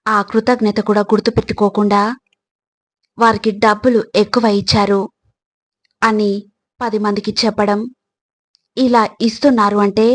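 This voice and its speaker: A woman with a mid-range voice reads a motivational script very slowly and evenly, with little rise and fall. Her delivery is calm and measured, and now and then she turns to the listener with a rhetorical question. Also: medium pitch. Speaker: motivational speaker. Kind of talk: slow scripted motivational narration.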